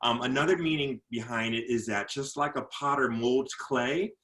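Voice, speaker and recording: A man being interviewed as a motivational speaker. He talks earnestly, often repeats words as he gathers his thoughts, and slows down and goes lower when he talks about faith. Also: fairly bright tone. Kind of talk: casual interview talk.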